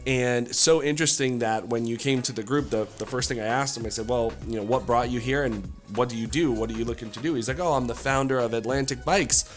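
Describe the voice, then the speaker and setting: A man hosting an expat interview show, enthusiastic and chatty, full of fillers and quick agreements. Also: mid-range voice, soft background music. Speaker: podcast host. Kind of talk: casual interview. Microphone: improvised mechanic-shop tool mic.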